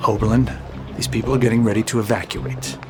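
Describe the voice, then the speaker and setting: A man's voice: medium pitch, steady tone, fast talker, lightly acted. Man playing a pragmatic captain over music. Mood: urgent, insistent. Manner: casual, hedging, down-to-earth. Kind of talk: full-cast audio drama acting.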